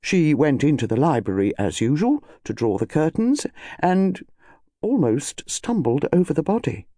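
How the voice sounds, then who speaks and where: A man's voice: on the high side, sing-song, normal speed, dark tone, dry recording, clean studio sound. A man voicing an impressive, very formal and reproachful English butler in an audiobook story. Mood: discreet, formal.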